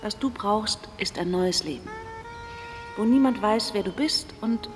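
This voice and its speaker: A woman's voice: medium pitch, measured pace, close to an everyday voice. An actress playing an insistent woman giving counsel in a radio drama, her voice in long reverb. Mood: urging. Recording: long reverb, music behind.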